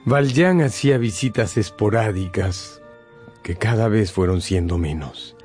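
A man's voice: fairly low pitch, animated, measured pace, a little mellow. A man narrating a scripted radio drama as a dramatic storyteller. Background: prominent music.

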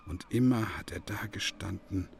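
An actor playing a brooding villager who narrates in a radio play, gravelly and reflective, in halting phrases. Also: male voice, deep voice, a little hiss or hum. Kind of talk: German radio play acting.

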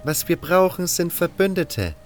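An actor playing a cold, condescending baron who gives orders in a fantasy audio drama, with music underneath. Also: male voice, sits high, sing-song, measured pace. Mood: cool, calculating. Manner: condescending, scornful. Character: cold, haughty male baron giving orders.

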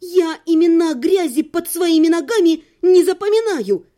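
A woman acting a high-voiced, dramatic young sorceress. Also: lively intonation, moderate pace, dark tone. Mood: angry, defiant. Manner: pleading, impassioned, defiant. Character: feisty, dramatic young female magic student.

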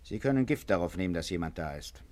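A blustering, swooping police commissioner. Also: male voice, deep voice, quick pace. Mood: stubborn. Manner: excitable, theatrical. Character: blustering, easily rattled male police commissioner. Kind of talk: 1950s German satirical radio drama acting.

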